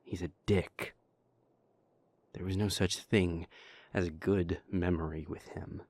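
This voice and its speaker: A man voicing a weary, bitter young vampire in an audio roleplay, talking in a low, hushed voice up close to the mic. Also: steady tone, slow, no echo. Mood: resentful. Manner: tired, resentful muttering that softens to tender.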